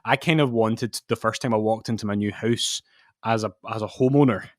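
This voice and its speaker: A young man co-hosting a podcast from his home study, in relaxed, rambling, jokey chat. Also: on the low side, brisk, dry recording, clean studio sound. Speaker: podcast co-host. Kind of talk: casual chat.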